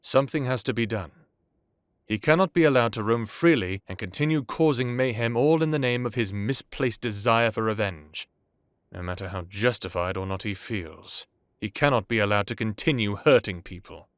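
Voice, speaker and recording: A man acts out an ancient vampire lord in an audio drama. His low voice is courtly and measured, with theatrical pauses. He sounds wry yet commanding, recorded very dry. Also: clear, resonant tone, no echo, close to an everyday voice. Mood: grave, warning. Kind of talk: dramatic audio roleplay acting.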